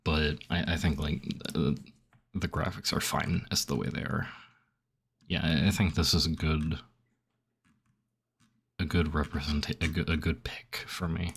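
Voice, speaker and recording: A man's voice: rumbling low, level tone, measured pace, vocal fry, pauses often, fairly bright tone, husky. A young man streaming, rambling casually with lots of "like" as he reads survey options aloud and reacts, his throat a little phlegmy. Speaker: gaming streamer. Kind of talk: casual commentary while filling out a survey.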